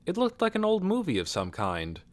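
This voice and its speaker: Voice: medium pitch, huge pitch swings, moderate pace. An audiobook narrator reading a novel as scripted literary narration.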